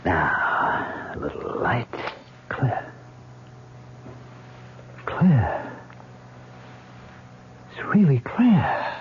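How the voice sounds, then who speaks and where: A man's voice: medium pitch, wildly swooping pitch, drawn-out words, pauses often, exaggerated voice. A man playing an anguished, haunted killer in a 1970s radio drama. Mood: tense, intent. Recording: muffled, noisy old radio tape.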